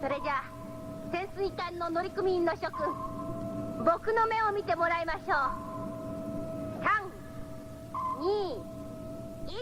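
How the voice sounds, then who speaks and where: Very high-pitched little boy leader. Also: slow pace, long pauses, background noise. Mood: calm, assured. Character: calm, commanding baby boy cyborg leader. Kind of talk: 1970s anime-style radio drama acting. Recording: music under a 1970s radio broadcast. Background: loud music.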